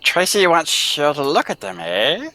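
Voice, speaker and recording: A woman's voice: huge pitch swings, unhurried, exaggerated voice. Woman acting a sly, wildly swooping tiger tamer. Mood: sly, probing. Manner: theatrical, swooping between hushed menace and shrill gushing. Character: menacing female circus tiger tamer, sly and volatile. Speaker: amateur voice actor in live table read. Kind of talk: old-time radio drama acting.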